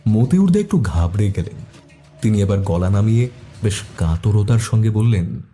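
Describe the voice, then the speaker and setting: A man's voice: lowish voice, lively intonation, moderate pace, mellow, faint music behind. A man reading a scripted detective story as narrator, also speaking the detective's questions.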